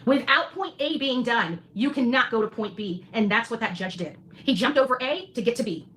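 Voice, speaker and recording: A woman's voice: on the high side, expressive, a little fast, a bit bright. A woman giving an emphatic, declarative livestream monologue, repeating phrases for weight. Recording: noisy replayed livestream audio.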